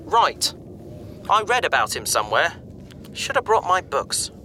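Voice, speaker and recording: An eager, bookish explorer in a sci-fi audio drama. His voice is very bright and fairly high, with a chatty, explanatory delivery. A faint background noise sits behind him. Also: sing-song, unhurried, full character voice. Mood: puzzled, thinking. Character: male bookish, eager space adventurer and explorer.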